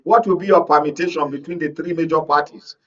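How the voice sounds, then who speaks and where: A man's voice: on the high side, steady tone, fast talker, a bit bright. A man with a Nigerian accent speaking as an analyst on a live panel. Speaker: studio analyst. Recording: dull, distant microphone.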